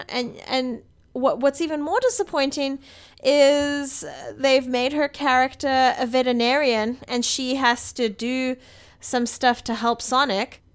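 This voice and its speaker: A woman co-hosting a podcast, giving emphatic, opinionated takes in casual film review chat. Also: sits high. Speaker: podcast co-host.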